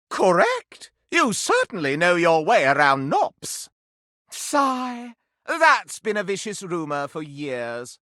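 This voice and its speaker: A man's voice: high voice, pushed and bright, no room sound, clean studio sound, exaggerated voice. A man voicing a flamboyant, haughty living candelabra in a video game, a scandal-loving gossip who declaims in florid, melodramatic style. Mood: haughty, coy, then haughty, exacting. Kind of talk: theatrical video game character acting.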